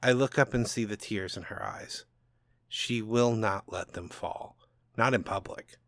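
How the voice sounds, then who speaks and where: A man playing a bitter, self-loathing old father in a subdued, halting audiobook narration. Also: lowish voice, fairly bright tone, dry recording, studio quality. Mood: brooding regret.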